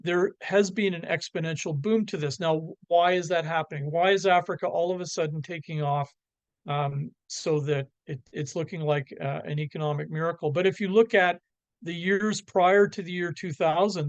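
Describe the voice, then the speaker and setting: A Canadian man walks through charts in a presentation, pausing often on fillers and restarting his sentences. His voice sits a little high for a man. The sound is dry, with no room echo. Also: clear, resonant tone. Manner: halting, many fillers and restarts. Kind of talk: slide presentation on economics.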